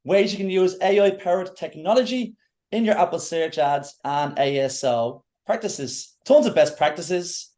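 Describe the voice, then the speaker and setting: Give me an enthusiastic man hosting a live webinar, a somewhat high voice. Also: animated, measured pace, darkish tone. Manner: enthusiastic, effusive. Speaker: event host.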